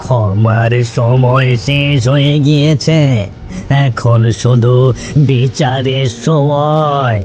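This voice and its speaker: A man voicing the vengeful ghost of a wronged dead man in a cartoon story, slow, drawn-out and accusing. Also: mid-range voice, steady tone, darkish tone, soft background music. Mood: cold, menacing.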